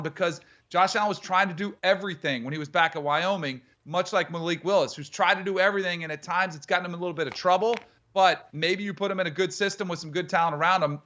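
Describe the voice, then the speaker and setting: A man giving animated football analysis on a studio sports show. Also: sits high, fast, hardly any pauses, dull audio. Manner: animated, comparison-heavy analysis.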